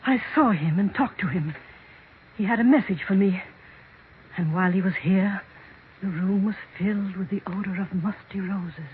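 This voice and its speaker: An actress playing an elderly, ailing mansion mistress in a 1940s radio drama, halting, troubled and suspicious. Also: female voice, mid-range voice, close to an everyday voice. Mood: haunted. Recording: narrow, noisy 1940s radio recording.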